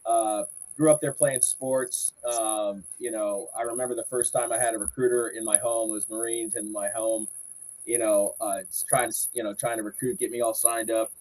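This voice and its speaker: A man's voice: on the low side, steady tone, moderate pace, darkish tone, low-quality recording. A man in his forties from Florida, a podcast guest chatting and telling long rambling stories about his life. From Southwest Florida, United States. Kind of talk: casual chat.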